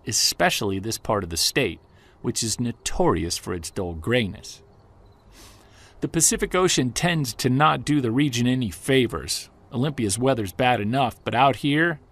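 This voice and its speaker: An actor playing an earnest male Bigfoot investigator who narrates in the first person, measured and defiant toward skeptics. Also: medium pitch, a bit bright. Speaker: narrator and lead character. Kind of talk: audio drama narration.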